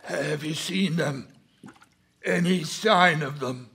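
Slow, gravelly grieving phantom giant. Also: male voice, on the high side, bright, forceful tone, heavily acted. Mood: haunted, searching. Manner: slow, haunted, pleading. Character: huge grieving ghostly man haunting a frozen lake. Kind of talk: live radio drama acting.

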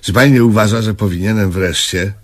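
An actor playing a grumpy, self-important, stubborn man in a comedic radio play, curt and husky. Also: on the low side, fairly quick, natural voice. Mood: grumbling, self-important. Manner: curt, gruff, dismissive.

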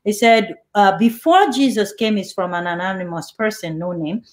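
A woman's voice: medium pitch, normal speed. A woman hosting an online church interview over a video call, reading out viewer questions and affirming answers. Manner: reads out viewer questions, warm laughs, affirming. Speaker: host.

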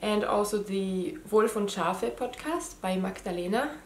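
A woman in her thirties with a slight Austrian German accent, speaking English as a second language. She hosts a home knitting podcast, talking straight to camera in a casual, hesitant way with plenty of ums. Her voice is mid-pitched and the room sounds noticeably echoey. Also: expressive, a little slow, slight background noise. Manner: hesitant, many ums and restarts. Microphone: camera mic, head and shoulders framing.

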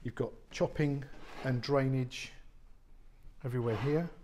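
Estate agent guy walking round a warehouse flat, lots of ums, mid voice, phone recording. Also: medium pitch, unhurried, slight background noise. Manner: chatty, many fillers and restarts. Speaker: estate agent giving a property tour. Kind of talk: unscripted walk-through tour. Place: large warehouse flat, indoors. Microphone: handheld phone camera, speaker off screen.

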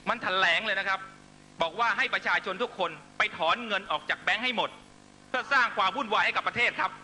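A middle-aged man giving an impassioned political rally speech in emphatic, short measured phrases into a stage microphone. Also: sits high, over music. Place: large night-time outdoor rally stage. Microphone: stand microphone on stage. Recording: noisy live rally public-address broadcast with hum.

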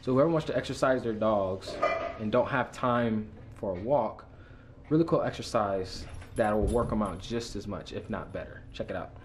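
A man's voice: lowish voice, moderate pace, noisy background. Laid-back young man vlogging to camera. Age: young adult. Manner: laid-back, talks to camera, commands dogs firmly. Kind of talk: casual vlog chat. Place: car park outdoors, then house indoors. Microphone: handheld camera at arm's length.

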